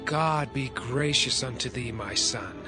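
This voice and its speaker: An actor playing a solemn, commanding Egyptian governor with a tender side in a dramatised scripture reading. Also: male voice, medium pitch, unhurried, music in the background, close to an everyday voice. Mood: tender blessing.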